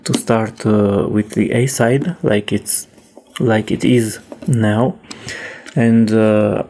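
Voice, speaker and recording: A man talks through a repair casually, thinking aloud as he goes. His delivery is slow and halting, with a soft-edged, mellow tone at a middling pitch. There is faint noise behind him. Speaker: host demonstrating a cassette repair. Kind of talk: casual hands-on repair narration.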